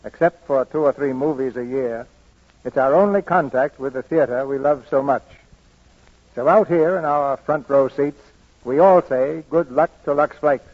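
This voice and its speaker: Husky, theatrical male radio host. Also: mid-range voice, normal speed. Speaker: host and narrator. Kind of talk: scripted 1940s radio narration. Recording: dull vintage radio recording.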